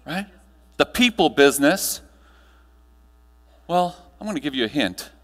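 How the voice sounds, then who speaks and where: A man giving a motivational keynote to a live audience, telling stories with dramatic pauses and emphatic delivery. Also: fairly high pitch, very animated. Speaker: keynote speaker. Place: large room, live audience, amplified. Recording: echoey hall, long reverb.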